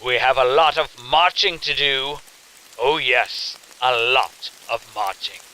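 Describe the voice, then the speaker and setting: A man's voice: medium pitch, slow, sharp tone, rough recording. A man playing a sinister, theatrical festival host in an audio drama, taunting with mock politeness and gleeful menace. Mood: quietly menacing.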